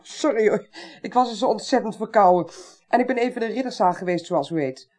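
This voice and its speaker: Woman playing an assertive, sharp-witted police investigator, lively intonation. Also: medium pitch, brisk, close to an everyday voice. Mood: insistent. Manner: assertive, precise, cuts others short. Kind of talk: Dutch radio drama acting.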